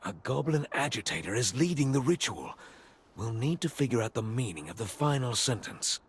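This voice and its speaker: An actor playing a grave, courteous commander in a fantasy video game, with a husky voice. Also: male voice, medium pitch, sing-song, moderate pace. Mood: instructing. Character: grave, courteous male commander giving a mission.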